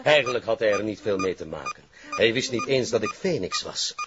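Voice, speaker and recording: An actor with a Flemish accent playing a vengeful, power-hungry hostage-taker in a TV crime drama, menacing, taunting and commanding. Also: male voice, fairly low pitch, wildly swooping pitch, a little fast. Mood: tense, gloating. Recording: muffled, noisy TV drama rip.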